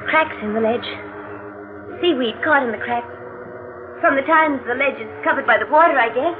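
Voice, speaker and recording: An actress playing an anxious, inquisitive wife in a 1940s radio mystery, speaking in a hesitant, probing way. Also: female voice, sits high, moderate pace, narrow, tinny audio, lightly acted. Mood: uneasy, insistent. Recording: thin vintage radio broadcast, music underneath.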